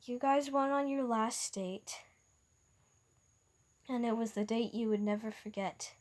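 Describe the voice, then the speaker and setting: A woman's voice: fairly high pitch, a little slow, a little mellow. A woman reading a second-person fan story aloud, with frequent stumbles and restarts. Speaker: storyteller and video maker.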